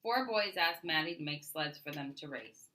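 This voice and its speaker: A woman reading a children's story aloud as an expressive narrator. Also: low-pitched, moderate pace. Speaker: storybook reader.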